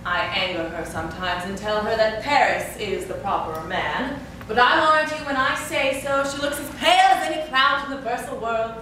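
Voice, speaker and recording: A woman's voice: on the high side, animated, moderate pace, few pauses, sharp tone. A woman playing a chatty, teasing old nurse in classical stage drama, rambling and exclaiming theatrically. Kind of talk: classical stage acting of Shakespeare. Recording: echoey room, distant mic, faint noise.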